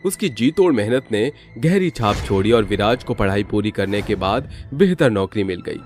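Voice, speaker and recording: Male narrator for dramatic scripted tales, very lively intonation, music bed. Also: lowish voice, normal speed. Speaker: story narrator.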